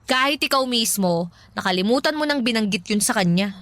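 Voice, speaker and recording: A woman's voice: on the high side, animated, a little fast, fairly bright tone, no room sound. A Filipino actress playing a playful, pushy young woman matchmaking for a friend in a radio drama. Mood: earnest persuading. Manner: giggly, teasing, persuasive.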